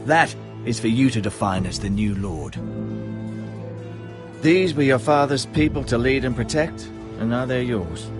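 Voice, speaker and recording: A male actor plays a grave, older counsellor in a fantasy game. He speaks formally and patiently at a middle pitch, measuring out his instruction. Music plays clearly behind him. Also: animated, measured pace, lightly acted. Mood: solemn, instructive. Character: grave older male maester, patient adviser to a young lord. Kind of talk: dramatic fantasy video game acting.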